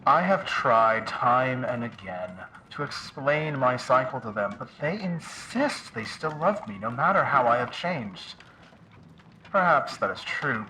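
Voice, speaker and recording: An actor playing a weary, newly reborn phoenix in an audio drama, speaking in solemn, formal, measured phrases. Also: male voice, mid-range voice, animated, pushed and bright, slight background noise, poor audio. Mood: solemn, resolute. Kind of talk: audio drama call-in monologue.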